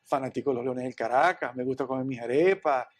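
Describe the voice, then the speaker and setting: A man with a Venezuelan accent answering interview questions in an earnest, halting way, often repeating words. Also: medium pitch. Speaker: interview guest, businessman.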